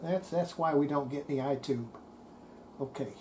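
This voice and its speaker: Older woman, mellow soft-edged tone, casual repair commentary, talking to herself, faint background noise. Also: sits low, moderate pace. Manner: muttering to self while working. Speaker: radio restorer. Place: cluttered indoor electronics workbench. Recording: noisy workshop room recording.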